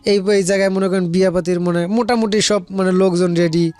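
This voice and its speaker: A man's voice: sits high, flat delivery, fairly quick, darkish tone, faint music behind. A man with a Bangladeshi accent telling a personal story in casual, colloquial speech, rambling and repeating phrases as he quotes conversations. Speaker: guest telling their life story.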